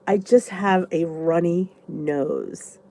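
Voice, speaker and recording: A woman's voice: lowish voice, slow pace, gravelly, mellow. A congested woman vlogger with a stuffy nose, rambling chattily as she answers viewer comments in a casual live chat. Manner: chatty and rambling, thinks aloud.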